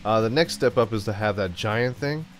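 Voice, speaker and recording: A man's voice: fairly low pitch, normal speed, soft background music. A young man with a stuffed-up cold voice, giving jokey let's-play commentary while he plays and riffing to himself. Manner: goofy, jokey, sings and riffs to himself. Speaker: gaming YouTuber.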